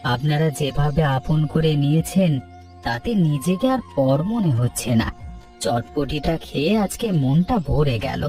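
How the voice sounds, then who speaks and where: A man voicing a kind, earnest young village doctor in a cartoon, speaking politely and in a measured, encouraging way. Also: a little high, expressive, dark tone, distant mic, faint music behind. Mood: polite, pleased. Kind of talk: scripted cartoon dialogue.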